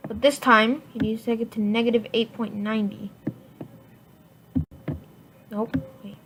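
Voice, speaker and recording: High-voiced woman, noisy tutorial recording. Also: little pitch movement, a little slow. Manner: informal, rambling, self-correcting. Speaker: software tutorial host. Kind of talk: casual screen-recorded tutorial, unscripted. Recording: noisy home recording with clicks.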